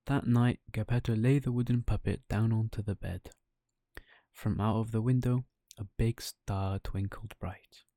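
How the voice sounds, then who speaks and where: A man's voice: on the low side, fairly even intonation, unhurried, warm tone, no echo, studio quality. A man narrating a children's bedtime story as a storyteller.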